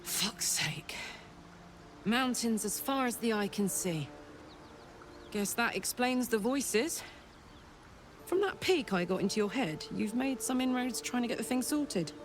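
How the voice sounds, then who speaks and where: A woman's voice: on the high side, animated, unhurried, pauses often, faint music behind. An actress playing a brash, fierce female warrior escaped from hell in a video game, blunt, sweary and informal in manner. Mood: friendly, frank. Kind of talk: video game character acting.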